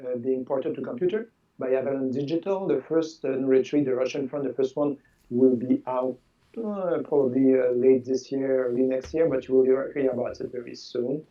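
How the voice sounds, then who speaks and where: A man of sixty whose first language is French, a game designer chatting in a video call interview, halting and repeating words. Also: medium pitch, mellow. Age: 60s. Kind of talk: casual interview chat. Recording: distant, dull lo-fi sound.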